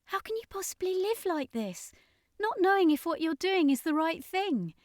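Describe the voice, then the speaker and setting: A woman's voice: very high voice, very animated, moderate pace, dry recording, studio quality, pitched above the performer's own voice. A woman voicing a troubled, idealistic young circus member in an audiobook, with urgent questioning. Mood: shocked, pleading.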